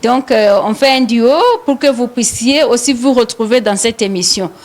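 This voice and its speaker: Rwandan woman, radio host, slightly high voice, halting with lots of fillers. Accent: Rwandan French. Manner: hesitant, many fillers and repeated words. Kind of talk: live studio talk show.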